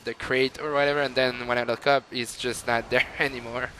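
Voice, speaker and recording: A man's voice: mid-range voice, little pitch movement, brisk, sharp tone, a little hiss or hum, rough recording. A young man with a Quebec French accent, rambling through casual gameplay commentary with lots of fillers. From Montreal, Canada. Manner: rambling and chatty, with frequent fillers and laughs. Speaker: gamer.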